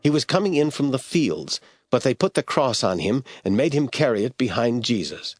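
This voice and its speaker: A man narrating scripture in a radio drama, reading in a steady, measured way. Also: mid-range voice, no room sound, clean studio sound, faint music behind.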